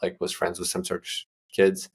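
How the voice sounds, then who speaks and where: A man's voice: low voice, monotone, moderate pace, slightly rough. A young man from Uzbekistan, a guest answering interview questions in a loose, casual way with lots of fillers and restarts. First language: Russian. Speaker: guest entrepreneur.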